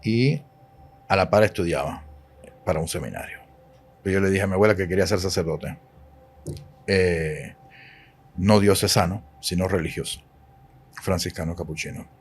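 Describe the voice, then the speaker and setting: Cuban guy in his 40s, priest on a podcast, low voice, leans hard on words and says them twice. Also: moderate pace, pauses often, a little hiss or hum. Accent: Cuban Spanish. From Cuba. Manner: emphatic, preachy, repeats key words. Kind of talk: podcast interview.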